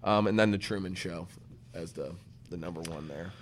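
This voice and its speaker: A man's voice: deep voice, moderate pace, a little hiss or hum. A man co-hosting a podcast in casual banter, with a jokey, informal manner and some swearing. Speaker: podcast co-host.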